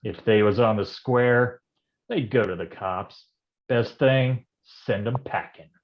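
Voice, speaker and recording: A world-weary private eye played by a man, talking in a very low register. He drawls hard-drinking film noir slang, part voiceover and part dialogue. The sound is dull, like a livestream. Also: takes it slowly. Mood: cynical, brooding. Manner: slangy hardboiled delivery.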